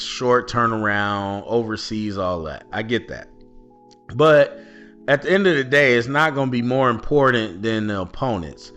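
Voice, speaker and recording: A man's voice: medium pitch, bright, forceful tone, clear, resonant tone. A man hosting his podcast from home, talking loosely with lots of ums while his voice recovers from a cold. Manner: loose rambling, many ums and restarts. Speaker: podcast host. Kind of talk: casual solo podcast talk. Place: at home indoors.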